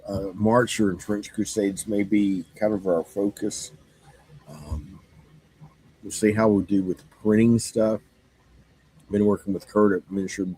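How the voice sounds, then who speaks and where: A man with a very low voice speaks in a hoarse, husky rasp, as if he is losing it. He runs a game store and chats about the coming year in a loose, halting way, full of fillers and restarts. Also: darkish tone, dry recording, low-quality recording. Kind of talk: casual monologue.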